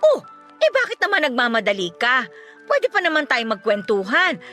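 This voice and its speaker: A woman playing a sharp-tongued, bossy, gossipy aunt in a Filipino radio drama, exclaiming bluntly with a Filipino accent. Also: high-pitched, very animated, normal speed, faint music behind, exaggerated voice. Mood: mocking, gossipy.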